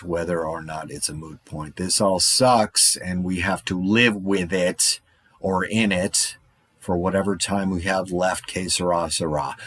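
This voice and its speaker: A man as a wry, low-voiced apocalypse survivor. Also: fairly bright tone, dry recording. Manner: rambling, darkly wry monologue. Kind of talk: audio drama monologue.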